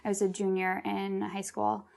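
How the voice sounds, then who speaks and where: A woman's voice: mid-range voice, completely flat delivery, normal speed, creaky, darkish tone. A young woman telling an interviewer about growing up in a matter-of-fact way. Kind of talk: personal interview recollection.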